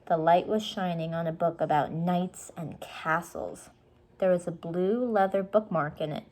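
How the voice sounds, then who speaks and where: Slow-paced woman reading a storybook. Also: on the low side, steady tone, darkish tone. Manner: explains words simply for young listeners. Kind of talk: children's storybook read-aloud.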